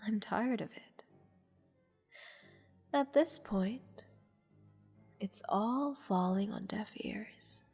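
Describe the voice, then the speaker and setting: A woman playing a haughty, bored goddess in a roleplay audio drama, condescending and teasing in a languid, breathy delivery. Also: medium pitch, very slow, long pauses, a little mellow, exaggerated voice. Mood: bored, weary. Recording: long reverb, music underneath. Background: orchestral music.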